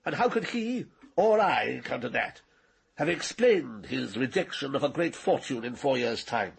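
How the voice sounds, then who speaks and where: An actor playing an arrogant, cold-blooded older knight in a radio drama, precise and condescending. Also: male voice, medium pitch, animated, unhurried, vocal fry, slightly rough, exaggerated voice. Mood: contemptuous. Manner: condescending, precise, coolly menacing.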